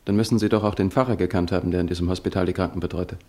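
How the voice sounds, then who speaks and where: An actor playing a wounded young fugitive in a radio play, speaking in a strained, hushed way. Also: male voice, deep voice, steady tone, racing. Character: Martin Henrizi, wounded young fugitive in pain.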